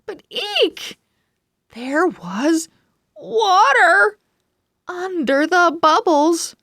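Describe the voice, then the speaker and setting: A woman voicing a proud, excitable little male pug telling his diary in a children's storybook, with dramatic, earnest phrasing. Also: very high voice, drawn-out words, dry recording, clean studio sound, pitched above the performer's own voice, heavily acted. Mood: dramatic dismay. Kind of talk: children's storybook character narration.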